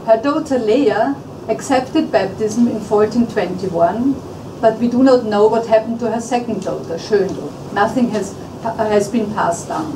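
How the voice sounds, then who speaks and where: A woman historian reading a prepared academic paper aloud at a lecture, measured and formal in her delivery. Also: a little high. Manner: measured and formal, with occasional restarts. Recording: echoey hall, distant mic, audible room noise.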